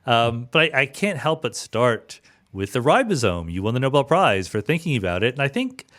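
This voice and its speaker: A man's voice: medium pitch, sing-song, normal speed, a bit bright. A man hosting a podcast in a conversational, informal way. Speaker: podcast host.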